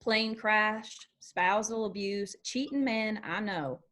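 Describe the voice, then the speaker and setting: A woman's voice: medium pitch, slow, fairly bright tone. A woman acting a smart-alecky but loving young daughter, a shy aspiring singer, in a screenplay table read. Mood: defensive, wry. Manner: smart-alecky, dry comebacks.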